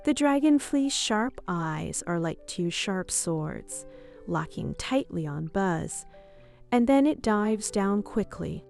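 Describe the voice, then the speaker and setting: A woman narrates a bedtime story for young children in expressive read-aloud fashion. Her voice sits at a medium pitch for a woman, and music plays behind her. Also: takes it slowly, vocal fry.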